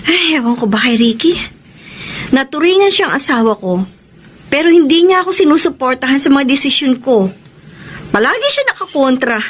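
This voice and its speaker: Young filipino wife in radio drama, high swoopy pleading voice, bit of noise behind. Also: female voice, lively intonation, normal speed, pauses often. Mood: weary, resentful. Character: conflicted young wife longing to work abroad. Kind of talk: Tagalog radio romance drama acting.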